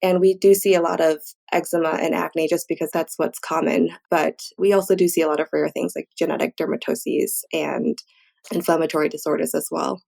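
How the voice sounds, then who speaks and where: A woman's voice: low voice, steady tone, fairly quick, creaky, darkish tone. A woman answering interview questions as a guest expert, explaining things in an informal, conversational way. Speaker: guest, physician and researcher.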